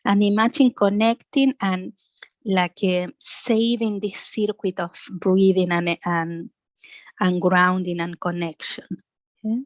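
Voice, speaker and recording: A woman's voice: medium pitch, level tone, slow, no echo. Woman guiding a dry-sounding relaxation class. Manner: gentle, instructive, often adding a questioning "yeah?". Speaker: yoga and relaxation instructor. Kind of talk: guided relaxation class with breathing and imagery.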